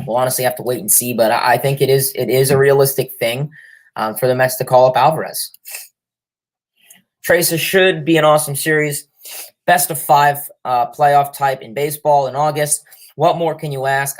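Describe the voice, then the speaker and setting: A man's voice: mid-range voice, poor audio. A young man hosting a sports livestream with a cold, sniffling as he reads viewer comments and rambles with lots of fillers and restarts. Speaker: livestream host. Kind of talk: casual sports chat reading viewer comments.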